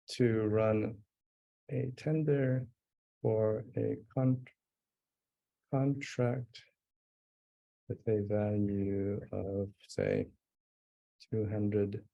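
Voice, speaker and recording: Man presenting a software demo: slow, gravelly, mellow tone, thinks aloud. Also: on the low side, rough recording. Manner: thinking aloud while typing, many fillers and restarts. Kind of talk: live webinar demo.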